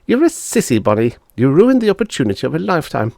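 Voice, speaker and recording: Man doing a bossy boy with raised pitch. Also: wildly swooping pitch, fast, gravelly, darkish tone, no echo, clean studio sound, heavily acted. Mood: bossy, impatient. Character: bossy young boy inventor, impatient and scornful big brother. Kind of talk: audiobook character voice.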